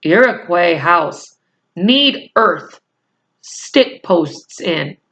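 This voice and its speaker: A woman's voice: fairly low pitch, lively intonation, drawn-out words, a bit bright, heavily acted. A woman voicing a tiny, blunt, commanding storybook man who speaks in clipped, broken sentences. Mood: bossy, terse.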